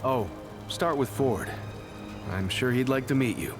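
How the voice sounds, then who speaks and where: An actor playing an earnest, bashful settlement mayor in a video game, talking in a hesitant, stammering, naturalistic way over ambient sound. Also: male voice, medium pitch, unhurried, over music, lightly acted. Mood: friendly, reassuring.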